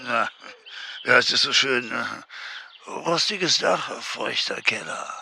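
An actor playing a coarse, callous SS squad leader in a German radio drama, with a crude, derisive, barking delivery. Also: male voice, mid-range voice, lively intonation, slow, creaky, noisy background. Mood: leering.

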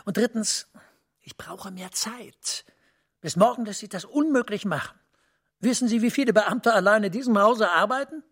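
An actor playing a commanding senior official in a German radio play, husky and breathy, speaking deliberately and dropping to near-whispers. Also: male voice, sits high, fairly bright tone. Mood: stern, calculating. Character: commanding male senior official, guarded, urgent, conspiratorial.

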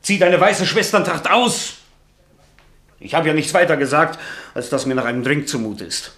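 An actor in a German radio play, playing a weary, irritable middle-aged writer with a sardonic, self-pitying manner. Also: male voice, a little high, moderate pace, a bit bright. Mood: exasperated, agitated.

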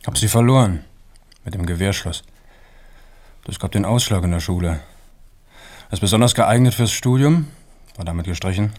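An actor as a young man with a low voice, awkward and laconic. He gives things out in short, dry fragments, in the understated manner of a radio play. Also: normal speed, long pauses, a little mellow, lightly acted. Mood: deadpan, resigned. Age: young adult. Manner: terse, clipped, matter-of-fact fragments. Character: awkward, laconic young man, student hopeful, unlucky and deadpan. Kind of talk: naturalistic radio drama acting.

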